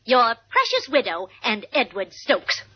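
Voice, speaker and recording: High-voiced, scornful jealous wife. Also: female voice, wildly swooping pitch, slightly rough, full character voice. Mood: scornful, mocking. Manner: melodramatic, drawn-out, mocking. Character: shrill, scornful, long-suffering jealous society wife. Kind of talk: 1950s radio acting. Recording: dull 1950s radio recording.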